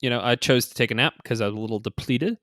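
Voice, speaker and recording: A man's voice: mid-range voice, very fast, fairly bright tone, no echo, studio quality. A man co-hosting a gaming podcast in casual, conversational chat, often dropping in 'like' and 'you know'. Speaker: podcast co-host.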